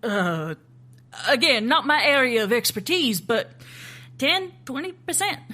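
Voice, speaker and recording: An actress playing a sharp, skeptical government agent in an audio drama, asking blunt, incredulous questions. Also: female voice, sits high, very animated, a little slow, heavily acted. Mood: skeptical, confused. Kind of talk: naturalistic audio drama acting.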